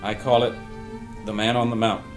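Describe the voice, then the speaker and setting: A man playing a sincere, dreamy painter in an audio drama read-through, speaking in a measured, earnest way. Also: fairly low pitch, little pitch movement, faint music behind, lightly acted. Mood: wondering, hushed. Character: sincere, dreamy male painter recounting a mysterious vision.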